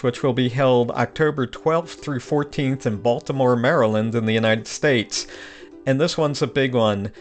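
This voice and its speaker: A man reading the opening announcements of a podcast in an even, scripted delivery. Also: medium pitch.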